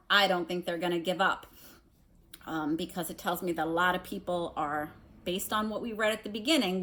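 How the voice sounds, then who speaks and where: A woman's voice: mid-range voice, fairly bright tone. A woman reading a children's novel aloud like a teacher, expressively, with casual asides.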